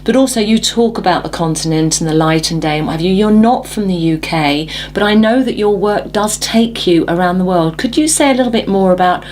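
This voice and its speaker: A woman hosts an interview show, drawing out her guest with encouraging questions and steady murmurs of agreement. Her voice sits a little low, with a faint hum behind it. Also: brisk, hardly any pauses. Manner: encouraging, frequent "mm" backchannels. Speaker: talk show host. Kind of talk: interview hosting.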